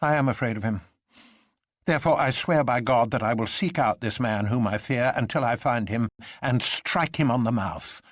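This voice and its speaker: A man voicing an excitable, reckless young man in a storybook reading, with an impassioned, declamatory manner. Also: medium pitch, normal speed, no echo, studio quality, close to an everyday voice. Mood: defiant.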